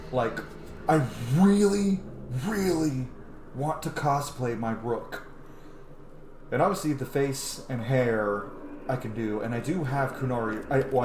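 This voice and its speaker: Casting: casual male gamer in his thirties, chatty and sweary, mid pitch, echoey room, game music behind. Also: noisy background. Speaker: gaming streamer. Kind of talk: casual let's play commentary. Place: small home room, indoors. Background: game music and sounds.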